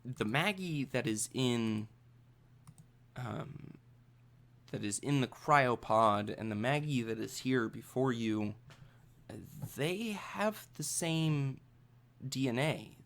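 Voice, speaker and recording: A man improvising narration as a tabletop game master, halting and suspenseful, with trailing pauses. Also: medium pitch, lively intonation, a bit bright.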